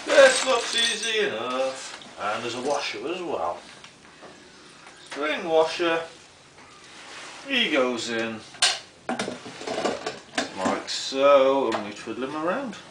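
British man, lively pitch, noisy roomy recording. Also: slow, pauses often. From United Kingdom. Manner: jokey, muttering to himself as he works. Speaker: vlogger. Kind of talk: casual unboxing and assembly commentary. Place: indoors in a concrete-floored garage workshop. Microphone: camera mic a short distance away. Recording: roomy, distant mic, very noisy with clicks.

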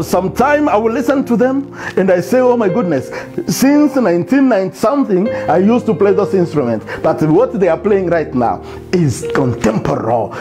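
Male pastor, 40s, fairly high voice that swings widely in pitch, music audible behind him. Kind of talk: sermon. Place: indoor studio set before a plain wall. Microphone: lapel mic.

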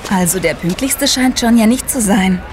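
A woman's voice: medium pitch, normal speed, background noise, lightly acted. An actress playing a friendly, playful young girlfriend, talking casually in a German audio drama.

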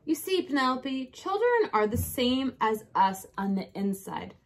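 A woman plays a patient dinosaur dad in a children's storybook. He gently questions and explains things in a measured, unhurried manner, with lively pitch. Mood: gently advising.

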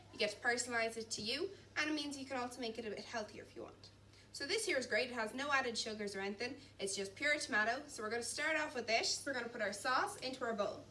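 A woman's voice: on the high side, fast talker, sharp tone. A young woman in her twenties giving a chatty, encouraging cooking demonstration while she chops and mixes in a large kitchen. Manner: chatty and encouraging, talks while chopping and mixing. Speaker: cooking instructor. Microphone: camera at a distance. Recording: distant mic, faint background noise.

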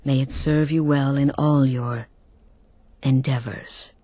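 An actress playing a poised, seductive leader of an assassins' guild in a video game, measured and knowing. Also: female voice, rumbling low, fairly even intonation, a little mellow, husky, dry recording, full character voice. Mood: welcoming, knowing.

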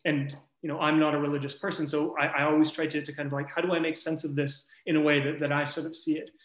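A man with a slightly high, flat voice talks through his research on a panel. He is thoughtful and loose, often searching for the right words. The sound is roomy and echoey. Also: fast talker. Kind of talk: academic panel discussion. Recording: echoey room.

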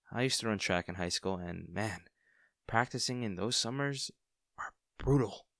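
A man's voice: fairly low pitch, creaky, no room sound, studio quality. A man playing a kind young barista with a shy crush, speaking reassuringly and a little hesitantly in an intimate audio roleplay. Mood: light, chatty. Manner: soft-hearted, reassuring, hesitant. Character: kind young male barista, caring, shyly smitten. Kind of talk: intimate comfort audio roleplay, natural conversational acting.

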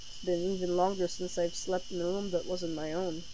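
A woman's voice: lowish voice, flat delivery, moderate pace, mellow, slight background noise, poor audio. A woman game streamer doing casual let's-play commentary and reading lines aloud, with sarcastic asides and some swearing. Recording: game music behind, streamed audio.

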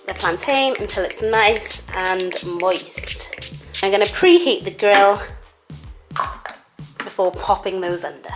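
A woman's voice: mid-range voice, pushed and bright, rough recording. A young woman casually narrating her cooking in a home kitchen, chatty and informal, with playful asides. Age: young adult. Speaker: cooking vlogger. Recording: music and frying sizzle behind voice. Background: music, sizzling food, stirring.

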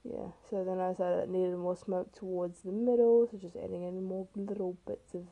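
A teenage girl with a rough, hoarse voice, casually narrating her own speed painting in a chatty, self-deprecating way. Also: fairly low pitch, flat delivery, moderate pace, mellow, poor audio. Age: teens. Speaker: art vlogger. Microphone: camera mic.

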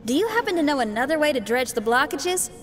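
A woman's voice: high voice, sing-song, fast, faint music behind. A woman playing an eager, spirited young musician in a video game, with an upbeat, folksy manner. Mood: earnest. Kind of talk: scripted video game dialogue.

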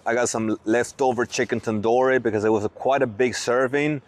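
A man's voice: mid-range voice, fairly even intonation, brisk. A man hosting a casual food vlog from a restaurant table, easygoing and repeating words as he thinks aloud. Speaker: vlogger host.

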